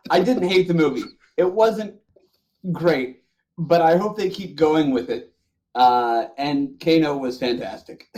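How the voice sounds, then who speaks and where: A man with a slightly high voice talks casually as a podcast co-host. He tells stories in a joking, loose way and swears freely. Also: a little slow, a little mellow. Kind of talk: casual group chat about movies and games.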